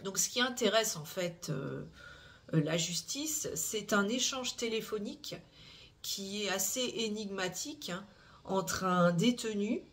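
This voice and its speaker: Halting speaker full of filler sounds. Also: mid-range voice, expressive, fairly bright tone. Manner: hesitant, frequent "euh" fillers and restarts; measured, explanatory delivery with tag "hein". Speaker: true-crime channel host. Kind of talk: recounting a criminal case to viewers.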